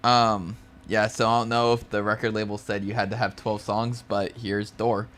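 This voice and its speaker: A man's voice: lowish voice, little pitch movement, normal speed, fairly bright tone. A man co-hosting a casual podcast, laughing often and swearing while he tells stories. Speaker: podcast co-host. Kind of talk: casual chat.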